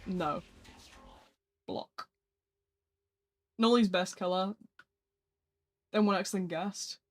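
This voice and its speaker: A gaming streamer chatting casually during a live stream, answering viewer messages in a flat speaking voice. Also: mid-range voice, very slow, slight background noise.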